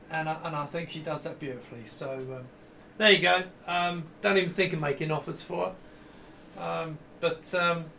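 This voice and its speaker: A man's voice: fairly high pitch, fairly bright tone, slight background noise. A man, presenting a casual retro computing vlog. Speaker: YouTube presenter and computer case maker. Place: indoor rooms with shelves of retro computers.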